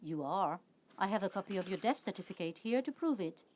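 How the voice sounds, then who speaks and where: An actress playing a cold, officious passport office bureaucrat in an audio play, speaking curtly. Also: female voice, medium pitch, expressive, fairly quick, darkish tone, no echo, close to an everyday voice. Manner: curt, officious.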